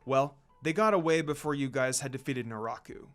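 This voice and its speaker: A man voicing a calm young male monk who speaks in a measured way. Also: medium pitch, soft background music, voice pushed down, close to an everyday voice.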